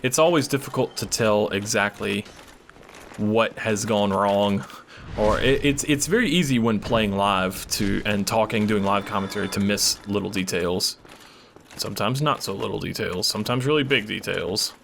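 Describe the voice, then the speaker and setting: A man's voice: fairly low pitch, normal speed, creaky, a bit bright, slight background noise. A man casually commenting while he plays a video game, rambling and swearing, losing his voice and coughing. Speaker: gaming channel host. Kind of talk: casual let's play commentary.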